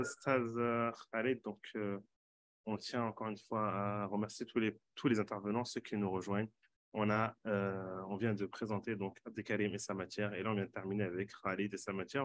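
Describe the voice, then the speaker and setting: Man, host, lowish voice, chatty, corrects himself often. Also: a bit bright. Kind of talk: hosting an online panel.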